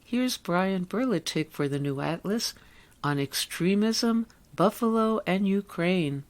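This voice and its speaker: A woman hosting a radio show, reading scripted narration in a measured, wordy manner. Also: mid-range voice, expressive.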